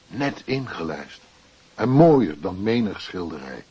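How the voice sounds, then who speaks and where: A wry down-to-earth widower in a radio play, very raspy low voice, says little. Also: male voice, very animated, slow, warm tone, lo-fi sound, full character voice. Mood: frank. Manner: plain-spoken, terse, wry. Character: wry, down-to-earth widower, night worker who paints. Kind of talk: radio drama dialogue.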